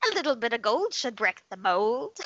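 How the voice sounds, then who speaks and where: A man's voice: extremely high pitch, big pitch swings, normal speed, fairly bright tone, no room sound, lo-fi sound, pitched above the performer's own voice, heavily acted. A man voicing a crude, greedy leprechaun who talks in cocky sing-song rhymes for a comedy story. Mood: boastful. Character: crude, greedy, rhyming leprechaun hungry for gold.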